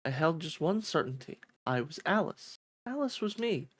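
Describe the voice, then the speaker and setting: A streamer voicing a pensive young heroine narrating a fantasy visual novel in a measured, wondering way. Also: mid-range voice, sing-song. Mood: dreamy, wondering.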